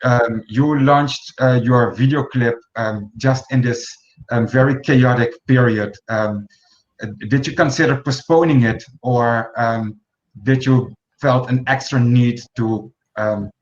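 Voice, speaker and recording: A man in his forties hosts an online panel over a video call, stumbling through fillers and restarts. His voice sits at an ordinary pitch. The sound is echoey and a little dull, as if recorded in a bare room. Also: measured pace. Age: 40s. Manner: hesitant, many fillers and restarts. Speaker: host. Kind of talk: moderating an online round table.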